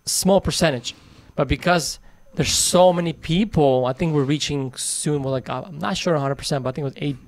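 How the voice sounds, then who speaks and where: A man in his thirties talking animatedly in a casual podcast chat about money, recorded on a boom mic in a small studio. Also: brisk, few pauses, dry recording. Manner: animated, talks with his hands, laughs often. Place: small studio with brick wall. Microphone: boom mic on arm.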